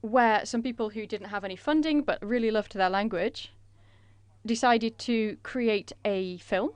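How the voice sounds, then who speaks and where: Woman interpreter, smooth clear voice, dry close sound, measured delivery with fillers. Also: medium pitch, no echo. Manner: measured, with frequent filler pauses. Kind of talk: interpreting a conference presentation.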